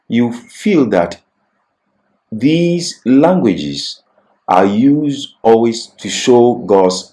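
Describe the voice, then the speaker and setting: A man's voice: mid-range voice, dark tone, poor audio. A man presenting a Bible lecture, reading prepared points and explaining them emphatically, repeating key phrases. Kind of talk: scripted religious lecture with explanatory asides.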